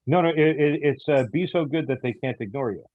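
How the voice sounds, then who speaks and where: A man speaking casually as an interview guest, in a hesitant, halting and earnest way. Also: fairly high pitch, dry recording, narrow, tinny audio. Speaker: audio theater show creator, interview guest.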